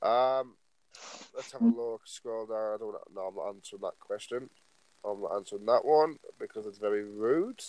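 A man's voice: on the low side, normal speed, a little mellow, slight background noise, rough recording. A nineteen-year-old man co-hosting a casual podcast, rambling along and filling his talk with "like" and "obviously". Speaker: podcast co-host.

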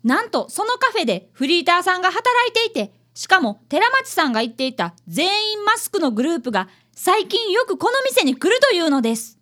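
A woman's voice: very high-pitched, sing-song, a little fast, few pauses, bright, forceful tone, heavily acted. A woman playing a dutiful fifth-grade boy apprentice detective who narrates a radio drama. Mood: lively.

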